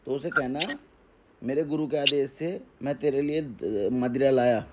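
A man's voice: medium pitch, a little slow, a little mellow. A man speaking as a guru advising a caller in casual phone talk, giving instructions and often checking he is understood. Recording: phone call recording.

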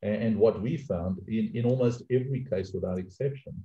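A man's voice: lowish voice, brisk, dark tone. A middle-aged man with a South African accent, speaking emphatically on a webinar panel with frequent restarts, over a video call. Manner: emphatic, repeats words while restarting. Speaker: outsourcing panelist. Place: home office, video call. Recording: echoey room, dull lo-fi call audio.

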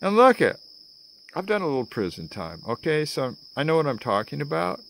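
An American man in his sixties giving a theatrical spoken-word political rant to camera, with dramatic pauses and wry asides. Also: on the high side, very animated, creaky, a little hiss or hum, rough recording. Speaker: vlogger. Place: outdoors among greenery. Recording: outdoor ambience with chirping insects.

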